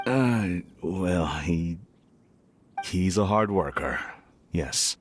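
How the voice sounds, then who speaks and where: Casting: a middle-aged tea merchant for a video game. Deep register, unhurried pace, worried, earnest and careworn. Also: male voice, animated, noisy background, exaggerated voice. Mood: hesitant, troubled. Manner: hesitant, earnest, weary. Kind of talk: scripted video game dialogue.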